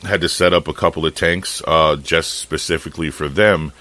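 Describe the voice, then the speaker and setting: A man's voice: a bass voice, fairly even intonation, normal speed, bright, forceful tone. An American man talking casually on a phone call, explaining things in an informal, rambling way. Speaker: fish shop owner and podcast host.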